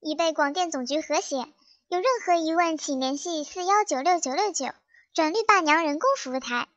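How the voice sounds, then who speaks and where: Cutesy female mascot announcer, childlike very high pitch, mock-official radio-play notice, muffled lo-fi recording. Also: normal speed, fairly bright tone, slight background noise. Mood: chirpy, official. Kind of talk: parody public announcement in audio drama. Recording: dull lo-fi sound over music.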